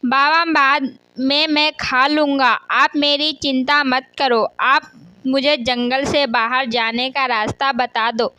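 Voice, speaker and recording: A woman's voice: high-pitched, fairly even intonation, takes it slowly, sharp tone, clear, resonant tone, no room sound, heavily acted. A woman voicing a humble, generous male woodcutter in a storybook tale, speaking politely and with deference. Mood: polite, selfless.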